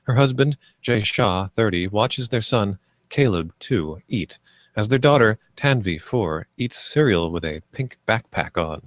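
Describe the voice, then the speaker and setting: Low-voiced man reading screenplay directions. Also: expressive, measured pace, dry recording. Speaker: stage directions reader. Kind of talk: scripted narration at a screenplay table read.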